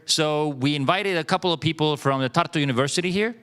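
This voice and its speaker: Smooth-voiced man hosting a meetup. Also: on the high side, fast talker. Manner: relaxed, filler-laden explaining. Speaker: event organizer, host. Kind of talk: conference introduction.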